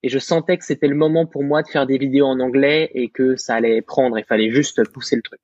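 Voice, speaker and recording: Self-assured young French man, husky. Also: medium pitch, fairly even intonation, fast, a little mellow, no room sound. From France. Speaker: guest content creator. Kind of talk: casual podcast discussion. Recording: slightly muffled dry recording.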